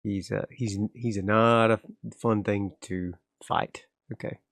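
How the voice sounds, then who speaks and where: Low gravelly man thinking aloud. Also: slow pace, no room sound. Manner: relaxed, rambling, frequent restarts. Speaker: solo game player and narrator. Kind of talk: casual solo tabletop play, thinking aloud.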